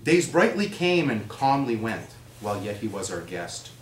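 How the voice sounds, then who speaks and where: A man recites with theatrical, widely swinging intonation at a middle pitch. He sounds a little away from the mic in an echoey room with faint noise. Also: sing-song, moderate pace, far from the mic. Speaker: reader at a readers theater event. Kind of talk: expressive live poetry recitation and introduction.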